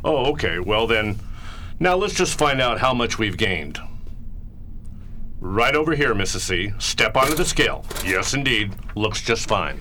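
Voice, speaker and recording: Man acting as folksy family doctor, low forceful voice, condescending and coaxing, radio play, noisy background ambience. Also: big pitch swings, measured pace, exaggerated voice. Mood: brisk, cheery. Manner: coaxing, patronizing, says "we" to patient.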